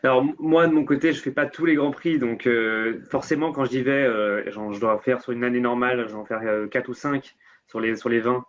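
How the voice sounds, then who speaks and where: A man's voice: mid-range voice, fairly even intonation, brisk, fairly bright tone, highs cut off. A man answering as a panel guest, hesitant and repeating words as he goes. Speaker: guest, motorsport professional.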